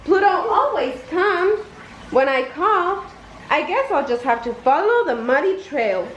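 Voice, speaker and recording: Woman acting friendly male cartoon mouse, high-pitched, coaxing, mildly scolding, storybook character, roomy echo. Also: very animated, unhurried, heavily acted. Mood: bright, instructing. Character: friendly male cartoon mouse, patient, easily exasperated dog owner. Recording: roomy echo, noticeable background noise.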